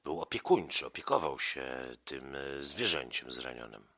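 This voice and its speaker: A man in the role of interviewer, talking conversationally with a child, measured as he sums up and prompts. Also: very deep voice, very animated, bright, forceful tone, slightly rough.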